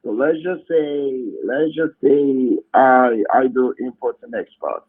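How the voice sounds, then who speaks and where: A man on a voice call, talking hesitantly with many fillers and soft trailing endings. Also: medium pitch, slow pace. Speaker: scammer on a voice call. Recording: tinny phone line.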